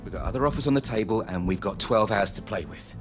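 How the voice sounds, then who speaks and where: A man's voice: on the low side, fast, creaky. An actor playing a defensive, earnest agent pleading for his young player in a video game cutscene. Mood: protective, persuasive. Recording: dramatic music behind the voice.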